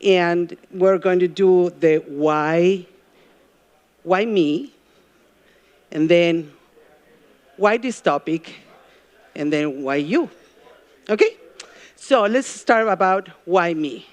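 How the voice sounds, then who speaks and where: A middle-aged woman from Peru with a Peruvian Spanish accent, giving a live talk as a journalism professor. She engages the crowd with questions and pauses often with ums and uhs. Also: on the low side. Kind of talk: live stage talk to an audience. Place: event stage before a live audience.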